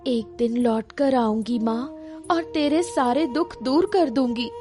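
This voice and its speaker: A woman's voice: high voice, slow pace, music in the background, pitched above the performer's own voice. A woman voicing a hurt, determined daughter in an emotional cartoon story, with a reproachful and resolute manner. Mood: resolute, sad. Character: hurt, determined grown daughter leaving her village home.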